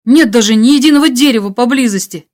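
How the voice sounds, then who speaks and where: A woman's voice: fairly high pitch, fast talker, a little mellow, voice pushed up, natural voice. A worn-out young adventurer on the run, played by a woman reading an audiobook. He sounds tense and hesitant, anxious yet brave, speaking with urgency. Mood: alarmed. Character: exhausted, hunted young male adventurer, anxious but brave. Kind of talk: audiobook character dialogue.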